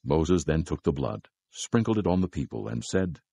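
A man narrating scripture from a script in a measured, solemn way. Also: sits low, expressive, gravelly, mellow, slightly rough, dry recording.

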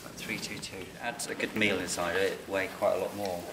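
Man, middle-aged, very deep voice, quiet hands-on explaining, farm barn, noisy camera sound. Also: level tone, fairly quick. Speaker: licensed bird ringer. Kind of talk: explaining fieldwork while handling birds. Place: open farm barn on straw, outdoors air. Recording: noisy outdoor camera recording.

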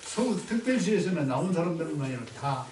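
A man's voice: on the high side, lively intonation, quick pace, a little mellow, slight background noise. An older man with a Jeolla accent, a former teacher judging a dialect contest, talking in a folksy way and quizzing people on words. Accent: Jeolla Korean. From Jeolla province, Korea. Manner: folksy, asks questions and affirms answers. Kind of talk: casual group chat about dialect. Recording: echoey room, voice from far off.

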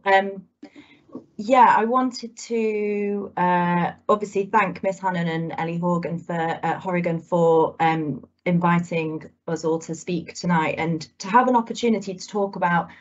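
A woman's voice: fairly low pitch, steady tone, measured pace. A woman in her thirties, a guest speaker talking to students over a video call, chatty and full of "kind of" fillers. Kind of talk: talk to students. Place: indoors at home. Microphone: laptop video call. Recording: muffled video call audio.

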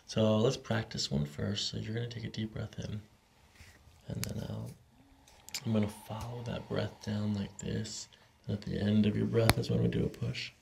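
Male chiropractor, 30s. Low, very creaky voice, instructive, step-by-step patient talk, noisy room recording. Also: flat delivery, normal speed, a little mellow. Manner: instructive, explains each step. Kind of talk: hands-on clinical talk to a patient. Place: small carpeted clinic office, indoors.

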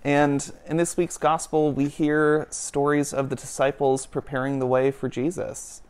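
A young man, a children and families minister, reading a picture book aloud in a gentle storybook cadence, seated on a living-room couch with a lapel mic. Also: a little high, little pitch movement, unhurried, clean tone. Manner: gentle storybook cadence, softening toward the end.

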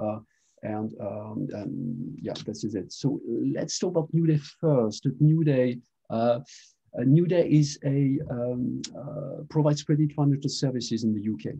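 A middle-aged man with a French accent gives a webinar talk over a video call. His voice is very dark and a little husky. He speaks haltingly and often restarts with "uh" and "um". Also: medium pitch, dull audio. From France. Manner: halting, many fillers and restarts. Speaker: presenter, mobile engineering manager. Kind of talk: conference webinar presentation.

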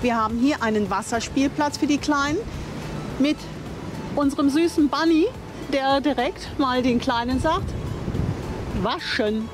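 A chatty older woman with a fairly high voice walks a campsite and talks to the camera. She is enthusiastic and often corrects herself mid-sentence. Music plays clearly behind her outdoors. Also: a little slow, long pauses. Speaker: vlogger touring a campsite. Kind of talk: casual on-camera tour commentary.

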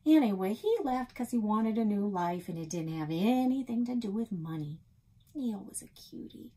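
Woman reading as a chatty husky American grandmother. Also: medium pitch, lively intonation, moderate pace, dark tone. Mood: defensive, fond. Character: chatty grandmother running a small bait shop. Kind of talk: children's storybook read-aloud.

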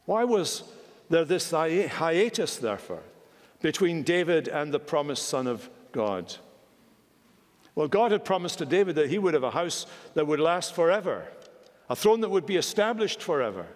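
A man in his sixties preaching a sermon from a pulpit in a large wood-panelled church, emphatic and measured, with wide swings of pitch. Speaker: senior minister preaching. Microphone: pulpit microphone. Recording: long church hall reverb.

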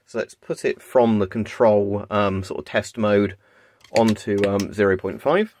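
A man's voice: lowish voice, fairly even intonation, unhurried, a little mellow. A man talking casually and off the cuff as he demonstrates a gadget on camera in a room at home. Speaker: video creator demonstrating gadgets. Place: small carpeted room at home, indoors. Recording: clear background noise, clicking device. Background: Geiger counter clicks and crackle.

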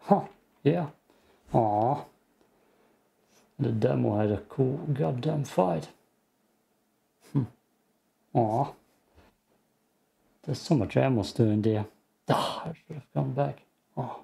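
A man's voice: mid-range voice, slow, gravelly, pauses often, dark tone, slightly rough. A man with an Austrian accent casually commenting on a horror game as he plays, muttering frustrated asides to himself. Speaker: gameplay streamer. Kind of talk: casual let's play commentary.

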